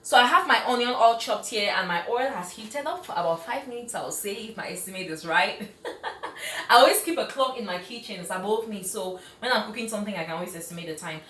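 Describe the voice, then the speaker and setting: A woman's voice: mid-range voice, animated, fairly quick, a bit bright, reverberant. A woman from Cameroon hosting a live cooking stream in her home kitchen, chatty and enthusiastic, laughing often as she reads out viewer comments. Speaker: cooking show host.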